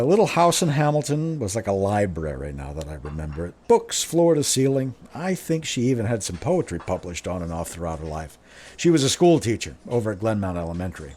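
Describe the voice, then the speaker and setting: A man's voice: fairly low pitch, a little mellow. An actor playing a blunt, wry older Irish-American man telling a rambling, slangy yarn in a radio drama. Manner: slangy, chatty, rambling with self-corrections and chuckles. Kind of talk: radio drama narration, folksy first-person yarn.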